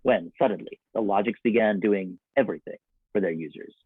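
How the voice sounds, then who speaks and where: A man announcing and narrating from a script in old-time radio style, with a theatrical, deliberate delivery. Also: fairly low pitch, breathy edge, no echo. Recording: dull, band-limited radio broadcast sound.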